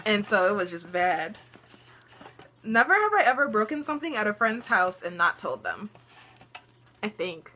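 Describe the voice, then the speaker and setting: A woman's voice: medium pitch, very animated, normal speed, pauses often, bright, forceful tone, a little hiss or hum. A teenage girl vlogger telling funny stories in casual chat, laughing often and dropping lots of ums.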